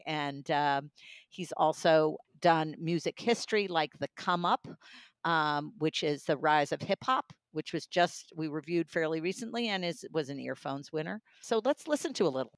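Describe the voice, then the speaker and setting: Casting: female reviewer, smooth clear voice, close dry sound, enthusiastic in casual discussion. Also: low-pitched, expressive, normal speed, dry recording. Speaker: audiobook reviewer.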